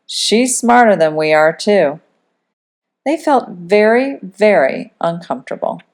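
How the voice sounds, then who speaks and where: A woman reading a storybook aloud as a teacher would, in expressive, dramatic children's narration. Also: medium pitch.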